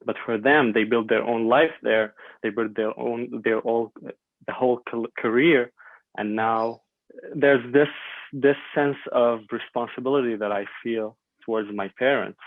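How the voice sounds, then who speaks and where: A man in his twenties from Syria whose first language is Arabic, speaking as a podcast guest in a thoughtful, halting way with many fillers and repeats. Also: mid-range voice, fairly even intonation, no room sound. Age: 20s. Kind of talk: podcast interview. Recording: dry tinny phone-like line.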